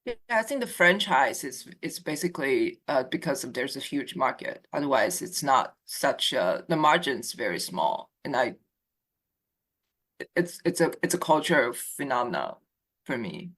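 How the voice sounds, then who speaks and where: A woman from Taiwan, a podcast guest, giving lively and opinionated views in a casual chat over a call. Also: a bass voice, moderate pace.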